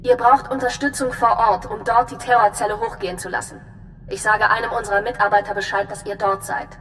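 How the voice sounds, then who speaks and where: An actress playing a composed, businesslike intelligence officer giving a precise, measured briefing in a video game. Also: female voice, fairly even intonation, fairly bright tone, lightly acted. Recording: long reverb, faint rumbling noise.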